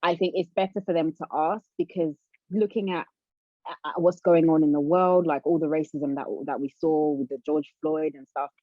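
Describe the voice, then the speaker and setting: Low-voiced woman from Zambia, dry sound. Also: fairly even intonation, normal speed, no echo, dull audio. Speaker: guest, business owner. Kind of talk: casual conversational interview.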